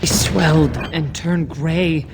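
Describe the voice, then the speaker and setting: An actress playing a haunted, traumatized survivor in a video game, in a halting, eerie recitation. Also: female voice, sits low, over loud music. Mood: eerie, dazed. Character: haunted, traumatized female survivor eerily recounting horrors.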